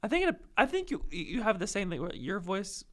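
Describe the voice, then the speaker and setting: A young man co-hosting a casual chat, easygoing and quick to agree. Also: high-pitched, wildly swooping pitch, a bit bright. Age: young adult. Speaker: podcast co-host.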